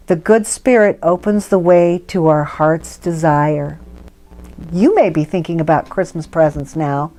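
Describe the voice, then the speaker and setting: A woman's voice: lowish voice, dark tone. A woman reading a scripted story aloud as a storyteller.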